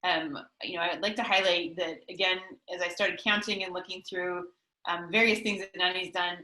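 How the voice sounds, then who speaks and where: A woman's voice: lowish voice, fairly even intonation, fairly quick, bright, forceful tone. A woman moderating an online academic interview session, introducing a guest in a chatty way with frequent ums. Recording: echoey room.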